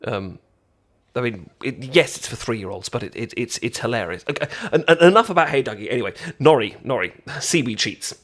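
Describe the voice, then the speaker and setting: A British man acting out an eager, friendly best friend in a casual ASMR roleplay, with a halting, stammering, rambling way of talking. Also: medium pitch, lively intonation, a bit bright. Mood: chatty, enthusiastic, then eager, instructive. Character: friendly, eager young male best friend teaching sushi-making.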